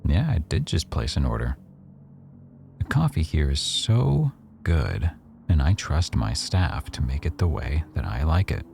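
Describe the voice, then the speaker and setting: A man playing a rough-edged mafia boss who owns a cafe, teasing, probing and quietly threatening, in a boyfriend ASMR roleplay. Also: very deep voice, very animated, measured pace, faint music behind, exaggerated voice. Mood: playful, proud. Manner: teasing, probing, smugly self-assured.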